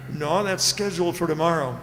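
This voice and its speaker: A man's voice: fairly high pitch, fairly quick, fairly bright tone, soft background music, close to an everyday voice. A man playing a busy, hesitant customs clerk in an old-time radio drama, with a distracted, muttering delivery. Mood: distracted, helpful. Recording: reverberant hall, stage-like sound.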